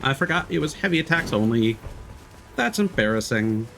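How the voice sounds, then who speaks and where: A man's voice: mid-range voice, animated, normal speed, gravelly, a bit bright. A male livestreamer giving casual gameplay commentary, thinking aloud in a rambling, hesitant way. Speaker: video game livestreamer. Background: game music.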